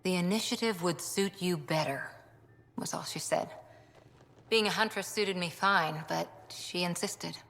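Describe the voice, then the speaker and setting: An actress playing an earnest former commando who longs to belong, frank and reflective in short clipped phrases, in a video game. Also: female voice, fairly low pitch, measured pace, gravelly, fairly bright tone. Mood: wistful, hurt. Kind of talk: video game dialogue acting. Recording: long reverberant hall sound.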